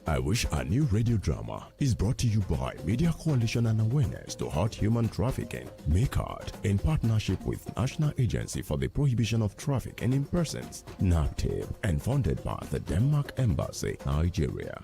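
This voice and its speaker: Deep, swooping man announcer over music. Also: wildly swooping pitch, moderate pace, vocal fry, hardly any pauses. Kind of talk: scripted radio programme announcement. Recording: loud music bed behind voice.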